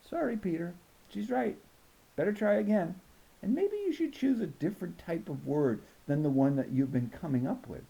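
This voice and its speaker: A man voicing a patient, fair male schoolteacher with a measured, gently correcting manner in a storybook. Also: high-pitched, expressive, vocal fry, slightly rough, lo-fi sound, pitched above the performer's own voice, heavily acted.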